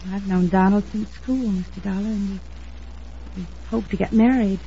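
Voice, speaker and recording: Casting: actress as courteous young American woman, radio drama, soft mellow tone, muffled noisy vintage recording. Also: medium pitch, moderate pace, natural voice. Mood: polite, guarded. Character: polite, earnest young woman, a fiancée. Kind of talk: 1950s radio acting.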